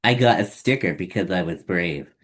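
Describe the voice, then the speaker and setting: A man hosting story time, reading a children's picture book aloud in simple, deliberate phrases. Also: sits low, lively intonation, a bit bright.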